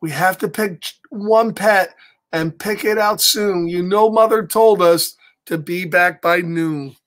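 Slow-paced American man reading a storybook. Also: high-pitched. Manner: expressive, playful, addressing young viewers directly. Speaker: storyteller for children. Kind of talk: animated picture-book read-aloud with chatty asides. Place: small home room, indoors.

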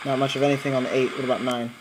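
A man's voice: mid-range voice, little pitch movement, racing. Guy doing a casual home demo, kinda blunt and offhand, noisy audio. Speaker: amateur video maker showing a radio. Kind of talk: casual demonstration chat. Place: indoors at a wooden table. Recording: noisy home recording with radio static.

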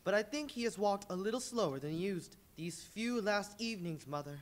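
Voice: medium pitch, animated, fairly quick, natural voice. A performer playing an earnest young son comforting his parents.

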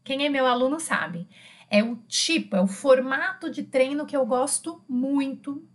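A woman's voice: high voice, measured pace. A middle-aged woman with a Brazilian Portuguese accent teaching an informal online French lesson in a chatty, emphatic way, repeating key phrases. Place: small indoor room with bookshelves.

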